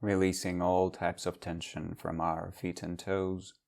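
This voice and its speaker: A man leading a guided meditation as a teacher, speaking in measured, instructional phrases with long pauses. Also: deep voice, little pitch movement, vocal fry, a little mellow.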